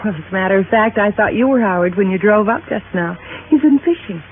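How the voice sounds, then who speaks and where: A woman's voice: mid-range voice, lively intonation, quick pace, lightly acted. An actress playing a proper, anxious young married woman in a 1950s radio drama, polite and earnest. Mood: uneasy. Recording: dull, noisy 1950s radio recording.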